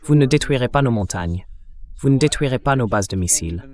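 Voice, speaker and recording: A man's voice: medium pitch, animated, fairly quick, darkish tone. A man with a synthetic-sounding voice hosting a conversational political interview, questioning in an incredulous way. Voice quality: AI-generated translation voice. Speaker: interview host.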